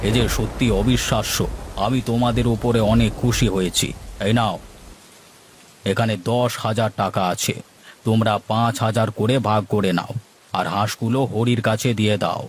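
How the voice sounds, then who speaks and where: A man's voice: lowish voice, fairly even intonation, normal speed, breathy edge. A man playing a genial, generous village landlord in a cartoon story. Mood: pleased. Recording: noisy track with rain ambience.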